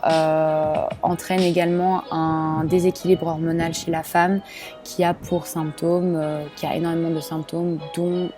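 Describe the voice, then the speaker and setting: Low, flat-voiced young woman. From Belgium. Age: young adult. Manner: hesitant, many fillers and restarts. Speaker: student sharing her experience. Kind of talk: personal testimony to camera.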